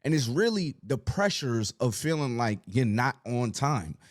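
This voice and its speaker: A man's voice: mid-range voice, sing-song, unhurried. A man on a podcast giving an earnest, reassuring motivational talk. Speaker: podcast host.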